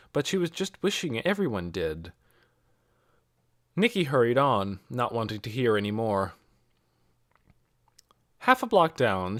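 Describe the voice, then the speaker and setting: A man's voice: medium pitch, fairly bright tone, no echo, studio quality. A man reading a scripted novel aloud as an audiobook narrator. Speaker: audiobook reader.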